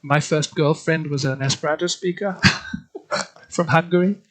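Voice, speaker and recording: A man in his fifties from Australia, with a slight German tinge to his English, answering interview questions thoughtfully with many pauses and restarts. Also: a little high, rough recording. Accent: slight German influence on Australian English. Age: 50s. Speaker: guest, literary translator.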